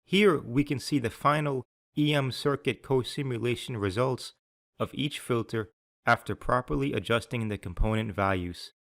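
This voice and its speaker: A man with a mid-range voice narrates a scripted software demonstration. His delivery is measured and instructional, explaining each step as a tutorial narrator would. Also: mid-range voice.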